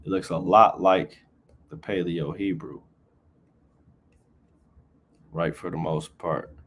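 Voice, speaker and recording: A man teaching scripture informally on a livestream, reading verses aloud, then explaining them casually and tagging nearly every phrase with "right?". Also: deep voice. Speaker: Bible teacher.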